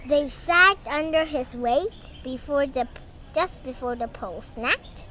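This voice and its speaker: Young girl child, high voice, slow halting storybook read-aloud, faint background noise. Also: animated. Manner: halting, stumbling over words. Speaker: young reader.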